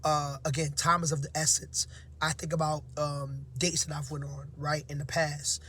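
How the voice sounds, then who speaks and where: A man who teaches, giving casual motivational advice to his viewers, slightly hoarse and half-awake, with frequent fillers and tags like "right?". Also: on the high side, flat delivery, normal speed, bright, forceful tone, slight background noise. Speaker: teacher and vlog host. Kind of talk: casual motivational talk to camera.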